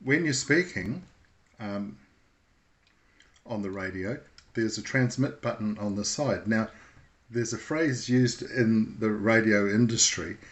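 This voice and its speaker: A man with a somewhat low voice speaks as an instructor. He explains things informally and in a measured way, pausing hesitantly as he goes.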